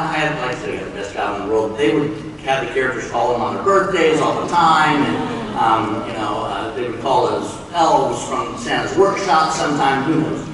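A man's voice: medium pitch, fairly bright tone, recorded from a distance, a little hiss or hum. A middle-aged man hosting a live panel informally on a large convention stage. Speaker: panel moderator. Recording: echoey hall from afar with crowd.

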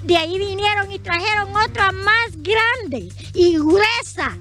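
High-voiced Honduran woman pleading outdoors. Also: takes it slowly, music in the background. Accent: Honduran Spanish. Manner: pleading, indignant. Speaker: evicted farmer interviewed. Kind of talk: emotional street interview. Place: outdoors by a rural roadside. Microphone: handheld reporter mic.

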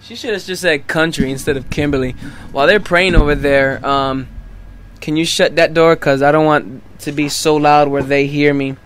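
A man's voice: a little high, fairly even intonation, normal speed, a bit bright, a little hiss or hum. A man from the US Midwest, a radio host, chatting casually in the studio.

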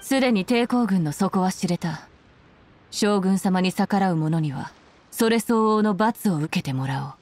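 A woman's voice: medium pitch, lively intonation, measured pace, darkish tone, a little hiss or hum, natural voice. A woman playing a stern, commanding female general in an anime game, speaking with cool, formal authority. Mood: cold, menacing.

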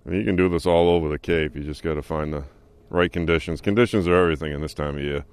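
A man in his thirties with a New England accent, a guest angler explaining his technique in a relaxed, unhurried way. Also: very deep voice, clean tone, dry recording. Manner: relaxed, unhurried, with fillers like "uh" and "you know". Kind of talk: casual explanation. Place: small boat on a pond, outdoors.

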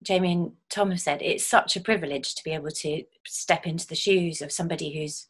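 A middle-aged woman, a stage actor, giving reflective interview answers on a video call. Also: lowish voice. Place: indoors at home.

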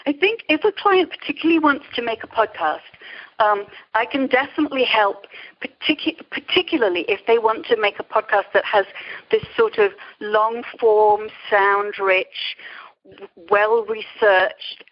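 A middle-aged woman answering interview questions conversationally, in a thoughtful, hesitant way. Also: medium pitch. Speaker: interview guest, creativity coach. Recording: dull, lo-fi radio-interview sound.

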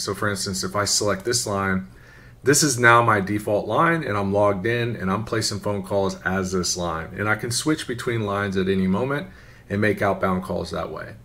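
A man giving an informal, conversational software tutorial, often checking in with a quick "right?". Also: fairly low pitch. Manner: conversational, instructional, often tagging phrases with "right?". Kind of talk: informal screen-share walkthrough. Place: voice-over for a screen recording.

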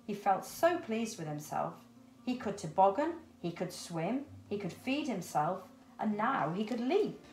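A woman's voice: medium pitch, very animated, unhurried, darkish tone, soft background music. A middle-aged woman reading a children's story expressively. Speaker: storybook reader. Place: indoors, small room with cupboards. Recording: roomy echo.

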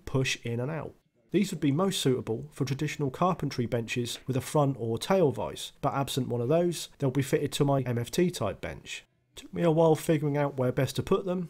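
A man's voice: medium pitch, darkish tone. A man giving a scripted voice-over tool review, matter-of-fact with dry, understated asides.

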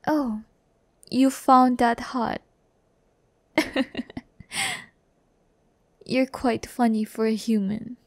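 Woman as teasing female demon, haughty yet tender, ASMR roleplay, creaky, unhurried, close dry sound. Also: fairly high pitch, fairly even intonation, dry recording. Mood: amused, teasing. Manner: slow, breathy, teasing, often near whisper.

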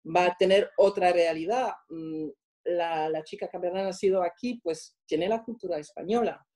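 A woman with a French accent answers interview questions in a mellow, slightly low voice. She speaks reflectively and haltingly, often stopping to hunt for the next word. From France. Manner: halting, searching for words. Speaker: interviewed guest.

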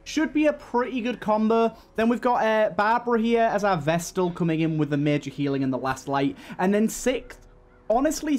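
A man's voice: sits high, a little mellow. A man hosting a gaming channel, giving casual let's play commentary.

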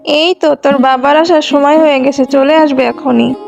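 A woman's voice: high-pitched, steady tone, moderate pace, vocal fry, a little mellow, soft background music. A woman playing a caring, mildly bossy village mother in a children's cartoon.

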